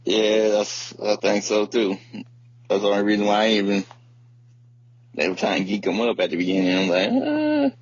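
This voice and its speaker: A man's voice: fairly low pitch, very animated, moderate pace, no room sound. A man hosting a podcast, talking casually and bluntly about music in slangy, informal speech. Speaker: podcast host. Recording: muffled podcast audio with light hum.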